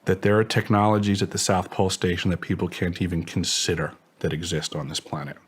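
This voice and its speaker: A man's voice: low-pitched, flat delivery, brisk, husky. A man speaking as an interview guest, giving assertive testimony in formal, technical wording. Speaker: interview guest, former contractor.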